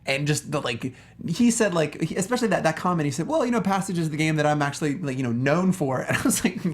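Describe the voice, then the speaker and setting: A man's voice: fairly high pitch, animated, fast. A man chats as co-host of a film discussion show. His delivery is informal and talkative, dotted with "like" and little tag questions that check in with the others. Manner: chatty, peppered with "like" and tag questions. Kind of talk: casual chat, film discussion.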